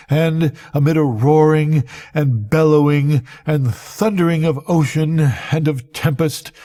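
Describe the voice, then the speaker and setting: An older man, a grandfather, reading a literary tale aloud as a bedtime story with measured, expressive, dramatic delivery and a husky voice. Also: mid-range voice. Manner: measured, expressive, theatrical at climaxes.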